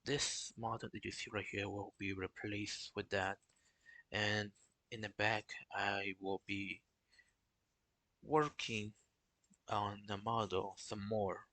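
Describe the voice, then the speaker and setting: Gravelly, flat-voiced young man streaming. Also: lowish voice, no echo. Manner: halting, flat delivery with many restarts. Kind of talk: casual solo stream talk.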